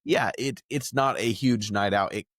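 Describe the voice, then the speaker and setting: A man's voice: fairly low pitch, normal speed, fairly bright tone. A man co-hosting a podcast, talking in a casual, conversational style. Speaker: podcast co-host. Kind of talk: casual chat.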